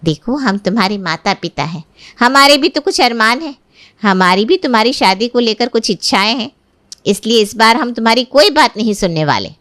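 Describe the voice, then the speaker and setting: A woman's voice: on the high side, lively intonation, moderate pace, a bit bright. A woman voicing an insistent, nagging, worried middle-aged mother in a cartoon family story. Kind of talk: cartoon moral-story dialogue.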